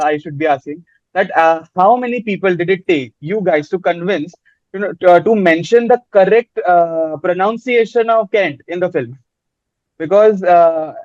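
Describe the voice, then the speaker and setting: High-voiced man hosting, muffled sound. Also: darkish tone, no echo. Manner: hesitant, many fillers. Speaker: host. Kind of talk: panel interview.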